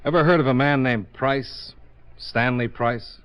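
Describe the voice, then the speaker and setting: An actor playing a brisk, wry American private investigator in an old-time radio drama. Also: male voice, mid-range voice, fairly even intonation, clean tone, natural voice. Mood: wry, persistent. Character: brisk, wry male private investigator, patient but persistent. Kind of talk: 1950s American radio detective acting. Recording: dull vintage radio recording with music.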